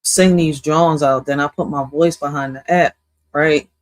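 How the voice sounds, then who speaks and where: A woman, hosting a casual livestream chat in a laid-back, halting way, laughing easily. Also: steady tone, no room sound. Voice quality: deep voice. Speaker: livestream host.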